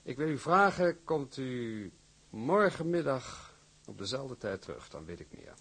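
An actor playing a cautious, courteous shipping director in a period drama, formal and sceptical. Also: male voice, mid-range voice, sing-song, measured pace, a bit bright, breathy edge, full character voice. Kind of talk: acted period drama dialogue.

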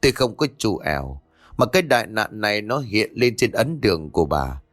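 A man voicing a grim exorcist who gravely foretells death. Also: on the low side, big pitch swings, fairly quick, fairly bright tone, no room sound, soft background music. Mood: ominous. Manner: grave, ominous.

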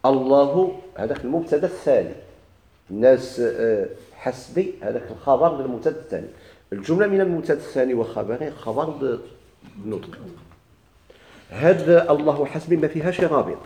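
A man with a Moroccan Arabic accent teaching Arabic grammar. He explains slowly, repeats and stretches key words, and puts questions to his students. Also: mid-range voice, animated, dark tone. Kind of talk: recorded grammar lesson. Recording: echoey classroom recording.

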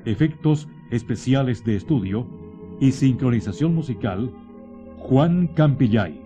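A man giving dramatic scripted radio narration in a solemn, deliberate manner. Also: mid-range voice, lively intonation, music in the background.

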